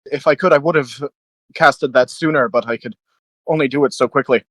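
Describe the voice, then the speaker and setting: A man's voice: mid-range voice, brisk, a bit bright, no room sound. Man roleplaying at a game, dry close sound. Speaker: player in a tabletop roleplaying game; voice actor. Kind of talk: in-character roleplay and casual game chat.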